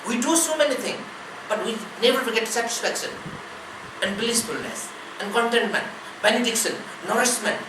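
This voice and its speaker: A woman as a spiritual teacher giving a discourse, measured, speaking in short listed phrases. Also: a little high. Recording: roomy, distant mic, ambient noise.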